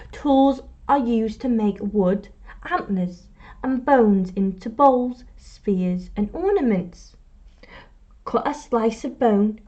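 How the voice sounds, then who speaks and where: A woman teacher reading a picture book aloud to children, expressively, with deliberate pauses and emphasis. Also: fairly high pitch, mellow, dull audio. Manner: prompts young listeners with questions. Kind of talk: storytime read-aloud.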